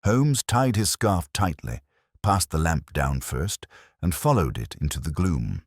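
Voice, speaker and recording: A man narrating a scripted detective story in a measured, atmospheric storytelling manner. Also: rumbling low, very animated, no room sound, clean studio sound.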